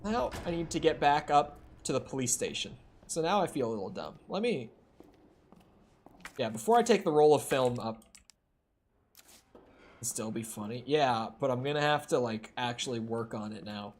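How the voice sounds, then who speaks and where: A man's voice: mid-range voice, big pitch swings, moderate pace, long pauses. Chatty young man streaming a horror game. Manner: rambling, chatty, self-deprecating, with restarts. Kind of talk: casual chat while playing a horror game. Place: home room. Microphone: streaming desk mic.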